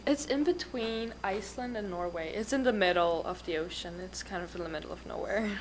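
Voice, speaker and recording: A sixteen-year-old girl gives short, quiet, shy answers as an exchange student. Faint room noise lies beneath her voice. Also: mid-range voice, brisk, rough recording. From Faroe Islands. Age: teens. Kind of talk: answering questions at a public meeting.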